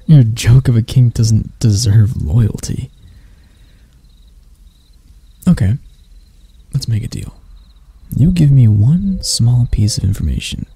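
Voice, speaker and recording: A man playing a proud elf prince in a roleplay ASMR, speaking in a measured, haughty and intimate way to the listener. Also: medium pitch, creaky, warm tone, husky, exaggerated voice. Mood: commanding, bargaining. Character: proud elf prince, stern captor softening into bashfulness.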